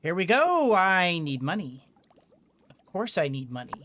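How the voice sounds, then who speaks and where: Unhurried woman gamer thinking aloud. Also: fairly low pitch, sing-song, fairly bright tone, slight background noise. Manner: thinking aloud, hesitant phrasing. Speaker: gaming streamer. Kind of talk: casual let's play commentary.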